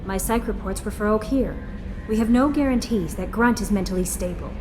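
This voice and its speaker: A woman's voice: mid-range voice, a little fast, music in the background, close to an everyday voice. A woman voicing a cool, analytical officer in a video game. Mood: doubtful.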